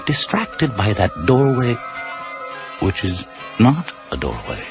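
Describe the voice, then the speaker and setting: A man performing as the portentous narrator of an eerie radio mystery, speaking deliberately on a muffled broadcast. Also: medium pitch, wildly swooping pitch, gravelly, over loud music, exaggerated voice. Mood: ominous, measured. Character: ominous male narrator of an eerie mystery series.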